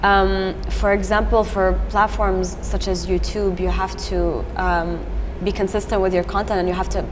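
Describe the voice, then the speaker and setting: A woman's voice: mid-range voice, flat delivery, moderate pace, hardly any pauses. A woman whose first language is French, a singer-songwriter answering interview questions chattily, with many restarts. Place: event venue. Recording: echoey hall with event noise.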